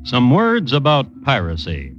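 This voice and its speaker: A man narrating a 1950s radio drama in a wry, formal, measured storytelling manner. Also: mid-range voice, huge pitch swings, a bit bright, faint music behind. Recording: dull old radio recording.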